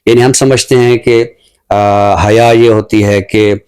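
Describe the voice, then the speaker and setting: A man giving thoughtful, earnest commentary on a talk show in a measured, phrase-by-phrase way. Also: fairly low pitch, steady tone, darkish tone, clear, resonant tone.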